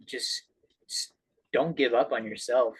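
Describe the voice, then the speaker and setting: A man's voice: mid-range voice, unhurried, a bit bright, breathy edge. A man giving casual motivational advice in an earnest, encouraging way as a guest speaker.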